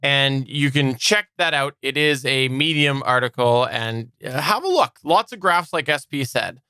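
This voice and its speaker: A man co-hosts a podcast in a relaxed conversational style. His voice is bright and smooth at a middling pitch, with a clean tone throughout. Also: measured pace, dry recording. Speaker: podcast co-host. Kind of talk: casual podcast chat.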